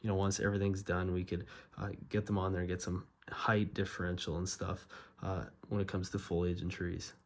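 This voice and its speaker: A man's voice: low voice, fairly quick. Congested man narrating a LEGO build. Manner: relaxed and rambling, frequent "obviously" and "uh". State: congested, stuffed-up nose from a cold. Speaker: LEGO builder and channel host. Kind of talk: casual build-log narration.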